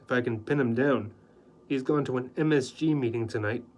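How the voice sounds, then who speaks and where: Man doing wry journalist, low voice. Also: brisk, darkish tone, natural voice.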